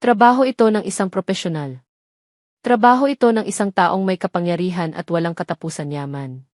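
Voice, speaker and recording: A woman narrating a scripted romantic drama as an audio storyteller, with deliberate, emotive delivery. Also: on the low side, a little mellow, no room sound, clean studio sound.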